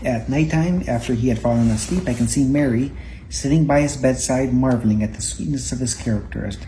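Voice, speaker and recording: A parent reading a children's Bible story aloud at home, gently and a little haltingly, repeating words now and then. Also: mid-range voice, rough recording. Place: indoors at home. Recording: home recording with faint background noise.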